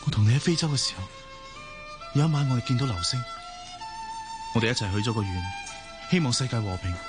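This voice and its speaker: A man's voice: medium pitch, animated, fast talker, lightly acted. Man acting a frantic, lovestruck young man. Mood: earnest, pleading. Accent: Hong Kong Cantonese. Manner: melodramatic, urgent. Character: ageless young man regaining past life, lovestruck, frantic. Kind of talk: Cantonese radio drama acting. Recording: music under the voice.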